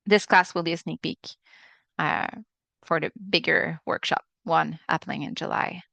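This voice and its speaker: Quebec French-accented woman, smooth and low. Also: a bit bright, no echo. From Quebec, Canada. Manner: hesitant, many uh fillers. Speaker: acupuncturist webinar presenter. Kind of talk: live webinar lecture. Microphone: video call.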